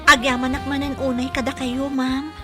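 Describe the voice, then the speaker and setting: A woman's voice: sits high, level tone, normal speed, over music, lightly acted. A woman with an Ilocano accent playing a polite, grateful boutique owner in a radio drama. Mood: grateful, hopeful. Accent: Filipino, Ilocano.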